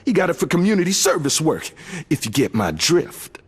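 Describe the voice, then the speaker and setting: A man's voice: a little high, big pitch swings, a little fast, breathy edge, full character voice. An actor playing a brash, jive-talking kung fu henchman in a video game, taunting in seventies slang. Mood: menacing, taunting.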